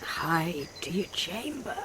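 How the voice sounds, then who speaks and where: Slow-spoken old nurse. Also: female voice, fairly low pitch, big pitch swings, fairly bright tone, slight background noise, exaggerated voice. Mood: soothing, practical. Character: old nurse, blunt, grieving, loyal servant. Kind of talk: Shakespearean radio drama acting.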